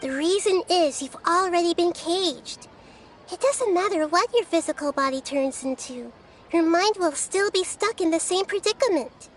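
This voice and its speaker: A woman voicing a gentle, wise young girl deity in a video game, speaking in a thoughtful, measured, childlike way. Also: extremely high pitch, animated, a little hiss or hum.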